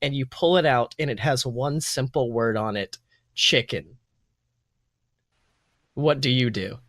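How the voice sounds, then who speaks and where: A man's voice: mid-range voice, normal speed, dry recording, studio quality. A man improvising tabletop game narration as game master in an informal, conversational storytelling manner. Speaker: game master and podcast host.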